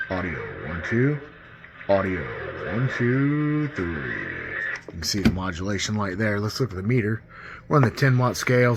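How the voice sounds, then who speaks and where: A man's voice: lowish voice, background noise. A man giving a casual, informal hands-on demonstration of gear, pointing things out step by step. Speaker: CB radio enthusiast demonstrating gear. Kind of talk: casual hands-on product demo. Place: indoors at a radio bench.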